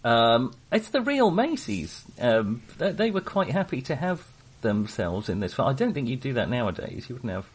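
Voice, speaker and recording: A man with a husky voice hosts a casual film podcast. His pitch moves around in an animated way, and his delivery is wry and jokey. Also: moderate pace, hardly any pauses. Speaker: podcast host.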